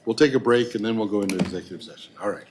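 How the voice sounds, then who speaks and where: A man's voice: lowish voice, very fast, background noise. A man chairing a public meeting, brief and informal, speaking into a table mic from a distance. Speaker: board chair.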